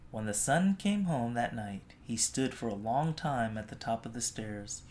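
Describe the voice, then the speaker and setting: Slow, animated storybook reader. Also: mid-range voice. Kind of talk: expressive children's storybook read-aloud.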